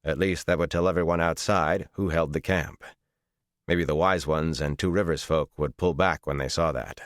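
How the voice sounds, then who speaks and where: A man with a low voice reads a fantasy story as an audiobook narrator. His delivery is measured and dramatic, and the recording is dry and close. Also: steady tone, no echo.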